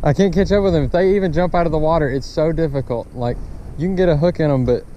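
A man's voice: a little high, quick pace, dark tone, highs cut off, a little hiss or hum. A man vlogging while out fishing, chatting informally and often repeating short exclamations. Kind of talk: casual chat while fishing. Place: outdoors on a bass boat on a small lake.

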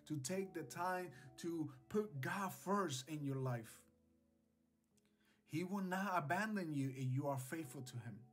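A man's voice: fairly high pitch, breathy edge. A man in his forties giving an earnest, exhorting devotional message to camera, repeating phrases for emphasis. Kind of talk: devotional sermon to camera, scripture reading. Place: small indoor home studio. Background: music, guitar.

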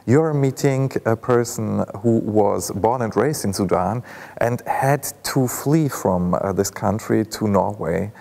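A man's voice: on the low side, slow pace, creaky, warm tone. A man in his forties with a German accent, hosting a festival film talk and asking thoughtful, hesitant questions. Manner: thoughtful, hesitant with many fillers. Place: ornate hall, indoors.

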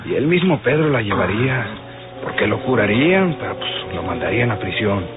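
Cautious outlaw, tinny sound. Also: male voice, on the low side, very animated, fairly quick. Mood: cautious concern. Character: loyal male outlaw accomplice, consoling yet cautious. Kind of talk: old radio drama acting. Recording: tinny old radio recording with music.

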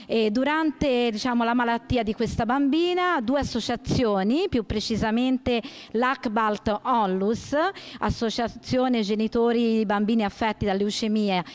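Brief: earnest, fairly high-voiced woman with some hesitant restarts, handheld mic, large gym hall. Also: moderate pace, few pauses. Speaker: event organizer's representative. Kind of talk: explaining a charity event.

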